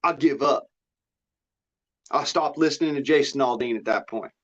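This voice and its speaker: A man's voice: medium pitch, normal speed, fairly bright tone. An American man talking in a rambling, casual monologue, blunt, folksy and freely swearing. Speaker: livestream host. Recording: dull, lo-fi audio.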